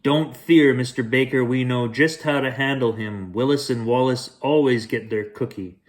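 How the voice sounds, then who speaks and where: A man's voice: mid-range voice, moderate pace, lightly acted. A man doing a sly scheming cat detective. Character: sly bad-boy male cat detective.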